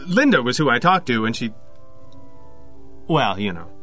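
An actor playing an anxious, obsessive loner inventor in an audio drama, speaking in a halting, self-doubting, agitated way. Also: male voice, medium pitch, sing-song, pushed and bright, soft background music, full character voice. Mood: troubled, hesitant. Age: 50s.

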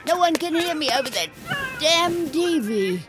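A woman's voice: sits high, animated, measured pace. A woman playing a crotchety, bossy elderly woman who is hurt, scolding and groaning in an audio comedy drama. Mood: exasperated, desperate.

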